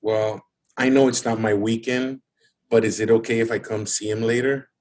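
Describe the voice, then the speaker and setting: A man's voice: on the low side, steady tone, normal speed, darkish tone, lightly acted. A man acting in an audio drama as a hurt, exasperated young father rebuffing his ex. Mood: guarded. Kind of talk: naturalistic scripted audio drama.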